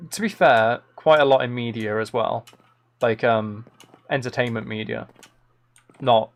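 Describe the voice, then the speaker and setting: A man hosting a live game stream in casual chat, rambling playfully and thinking aloud into a close microphone. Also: medium pitch.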